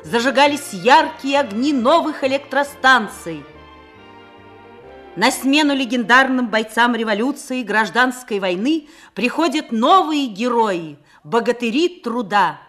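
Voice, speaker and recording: A woman's voice: on the high side, lively intonation, a little slow, a bit bright, soft background music. A woman narrating a documentary in a solemn, formal scripted style.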